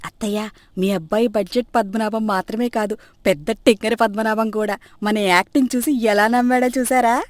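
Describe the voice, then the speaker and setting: Woman acting mischievous young wife, teasing, sarcastic, raised high voice, exclamatory, comic storybook. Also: steady tone, normal speed, close to an everyday voice. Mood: teasing, sly.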